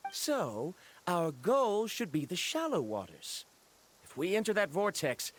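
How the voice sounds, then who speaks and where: A man voicing a frank, stubborn, learned scholar in a video game, formal, precise and argumentative. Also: high voice. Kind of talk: video game character acting.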